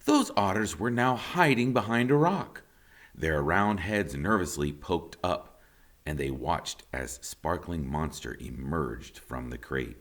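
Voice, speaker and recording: A man narrating a children's story aloud like a teacher, with expressive, deliberate dramatic emphasis. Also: low-pitched.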